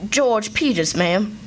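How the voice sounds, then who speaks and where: A performer voicing a young boy posing as a meek little girl in a live radio play, with a folksy, faltering drawl. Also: mid-range voice. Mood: sheepish. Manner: folksy Southern rural dialect, faltering. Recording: stage radio play with music behind.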